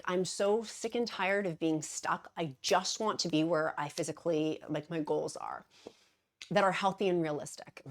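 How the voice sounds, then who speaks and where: Brief: upbeat woman vlogger, chatty and effusive, slightly low voice, lots of asides. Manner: bubbly, gushing, self-deprecating, rambling asides. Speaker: vlogger, weight-loss journey host. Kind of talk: casual chatty vlog to camera.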